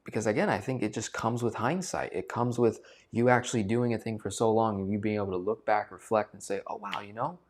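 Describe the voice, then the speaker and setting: A man's voice: on the low side, steady tone, fairly quick, hardly any pauses. A man talking to camera as a finance coach, emphatic and repeating phrases for stress. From United States. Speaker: finance coach and channel host. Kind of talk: direct-to-camera talk.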